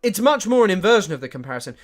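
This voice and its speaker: A man giving chatty live-stream commentary, animated and full of fillers and restarts. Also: high voice, very fast.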